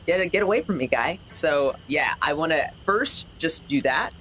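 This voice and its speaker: Low-voiced hesitant woman, casual game player. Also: lively intonation, moderate pace, a bit bright, a little hiss or hum, low-quality recording.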